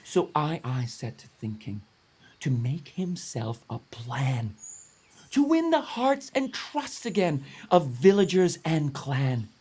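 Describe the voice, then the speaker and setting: A man giving a theatrical, expressive read-aloud of a rhyming children's story. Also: a little high, slow, husky. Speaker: storybook reader.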